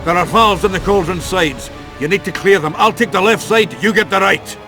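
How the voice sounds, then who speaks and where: An actor playing a gruff, bawdy dwarf warrior in a video game, blustery, salty and jocular. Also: male voice, sits high, brisk, music in the background. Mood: urgent.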